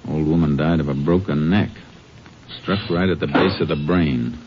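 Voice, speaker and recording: Deep-voiced, laconic Texas Ranger. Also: male voice, fairly even intonation, normal speed. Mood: grim, matter-of-fact. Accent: American. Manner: laconic, matter-of-fact. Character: stern, methodical male Texas Ranger investigating a murder. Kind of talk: 1950s radio western acting and narration. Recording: muffled, slightly noisy 1950s radio recording.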